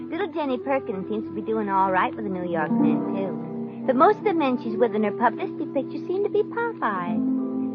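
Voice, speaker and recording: A woman's voice: mid-range voice, close to an everyday voice. An actress playing a brassy, kind-hearted, wisecracking showgirl in the style of 1950s radio comedy, with wry, slangy delivery. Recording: dull vintage radio recording, faint music.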